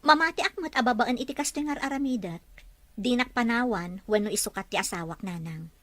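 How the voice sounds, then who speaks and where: A woman's voice: on the high side, fairly quick, a bit bright, no echo. A woman playing a devoted, forgiving young wife in a drama, speaking in an earnest, pleading manner. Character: devoted, forgiving young wife hoping her cheating husband changes. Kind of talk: Ilocano radio-style drama acting.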